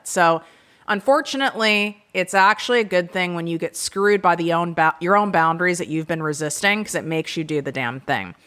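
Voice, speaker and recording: A woman hosting her own podcast, talking frankly and with self-deprecating humor in an energetic solo monologue. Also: fairly low pitch. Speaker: podcast host.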